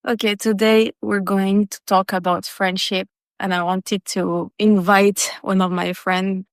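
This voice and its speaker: Woman podcast host, smooth clear voice, takes it slow and halting, dry close sound. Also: medium pitch, flat delivery, dry recording. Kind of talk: casual conversational interview.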